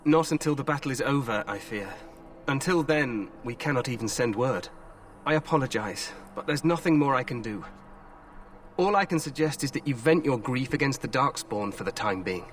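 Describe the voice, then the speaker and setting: A man's voice: mid-range voice, animated, normal speed, recorded from a distance, slight background noise, natural voice. An actor playing a confident, glory-hungry young king in a fantasy game, with a regal, formal manner. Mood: regretful. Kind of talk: fantasy video game voice acting.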